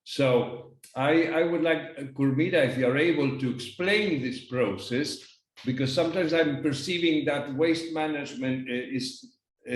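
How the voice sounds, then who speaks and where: A man moderating a panel discussion, speaking haltingly and often repeating words as he forms his questions. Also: mid-range voice. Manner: hesitant, repeats words as he goes. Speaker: webinar moderator. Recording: roomy echoing webinar audio.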